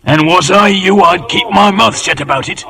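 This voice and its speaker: An actor playing a wily leader in an old radio drama, gravelly, wheedling and commanding by turns. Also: male voice, sits high, normal speed, crowd noise. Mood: coaxing, hushed. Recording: noisy vintage radio broadcast.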